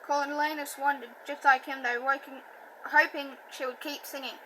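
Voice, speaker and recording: A woman reading a novel aloud, halting and self-correcting, with a stutter and a slight lisp. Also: high voice, steady tone, pushed and bright, slight background noise, poor audio. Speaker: audiobook reader. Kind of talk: amateur book reading.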